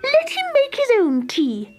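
A woman voicing a chorus of greedy, demanding fairies in a high, squealing, bossy tone. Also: wildly swooping pitch, measured pace, darkish tone, soft background music, heavily acted. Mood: indignant.

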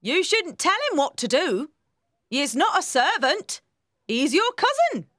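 A woman voicing a cheerful, reassuring housekeeper in an audiobook story, with a bright, exclaiming manner. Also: high-pitched, very animated, unhurried, dry recording, clean studio sound, pitched above the performer's own voice, full character voice. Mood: gently scolding.

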